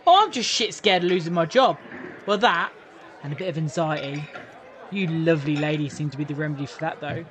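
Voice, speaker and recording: Man playing a high-pitched cheeky young bloke. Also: fairly quick, a crowd in the background, background noise, natural voice. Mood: cheeky, grumbling. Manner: jokey, melodramatic banter. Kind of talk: audio drama dialogue.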